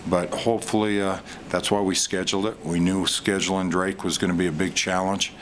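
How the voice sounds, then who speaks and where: A middle-aged man, a head coach, answering post-game press questions in a measured way with frequent pauses, speaking into a desk mic in a press room. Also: deep voice, flat delivery, fairly bright tone, a little hiss or hum. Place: press room in front of a sponsor backdrop. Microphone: desk microphone.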